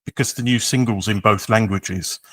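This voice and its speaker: A man in his forties from England, hosting an interview, asking relaxed, gently teasing questions. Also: fairly low pitch, normal speed, no room sound. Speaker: radio host interviewer.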